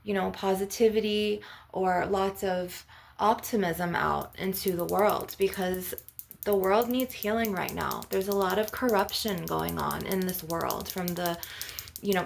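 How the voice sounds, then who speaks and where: A woman with a creaky voice speaking as a spiritual healer in an ASMR session, earnest and instructive in a conversational way. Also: medium pitch, slight background noise. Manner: earnest, instructive, often adding "you know" and "okay". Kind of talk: spiritual ASMR healing session with rambling talk.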